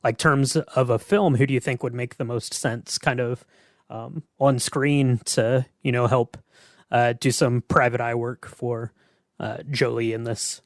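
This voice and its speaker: A man's voice: medium pitch, fairly even intonation, unhurried, no room sound, studio quality. A man hosting a podcast and asking questions in casual, conversational talk. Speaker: podcast host. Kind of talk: casual chat.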